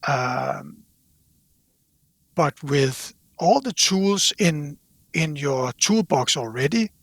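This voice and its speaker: A man speaking as an interview guest in casual conversation, haltingly, repeating words as he thinks aloud. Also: fairly high pitch, expressive, a bit bright, no echo. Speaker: podcast guest from a loudspeaker company.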